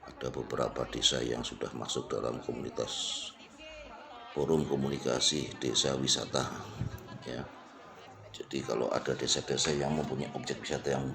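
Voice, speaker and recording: Male vlogger, extremely deep gravelly voice, casual repetitive tour commentary amid clear crowd chatter. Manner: unscripted, repetitive, pointing things out. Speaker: vlogger giving an exhibition tour. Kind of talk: casual walk-through commentary. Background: crowd at an exhibition.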